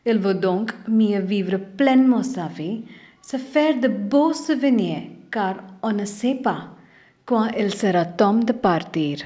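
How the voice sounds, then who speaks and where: Indian woman, deliberate reading, muffled lo-fi mic. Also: mid-range voice, lively intonation, warm tone. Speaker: learner sharing an expression. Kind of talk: read-aloud video message. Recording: dull lo-fi recording.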